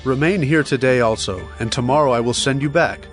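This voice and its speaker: Guy acting a king giving orders in a bible drama, medium pitch, deliberate and commanding, music underneath. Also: close to an everyday voice. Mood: cold, calculating. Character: authoritative male king giving calculated orders.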